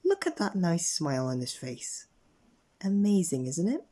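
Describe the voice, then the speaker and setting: A man narrating a children's bedtime story in an expressive read-aloud manner. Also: on the high side, unhurried. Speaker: storybook reader.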